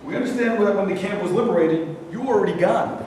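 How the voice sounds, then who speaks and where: A man's voice: high-pitched, fast talker, darkish tone, lightly acted. A man acting the part of a stern, probing official investigator in a staged reading of a play. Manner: official, questioning. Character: probing, stern male war-crimes investigator. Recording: very echoey room, distant mic, light noise.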